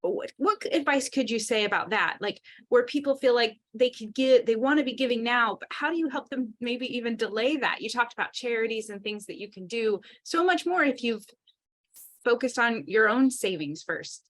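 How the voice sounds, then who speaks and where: A woman's voice: fairly high pitch, expressive, moderate pace. A woman hosting an interview podcast, affirming her guest with enthusiasm and asking questions. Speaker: podcast host.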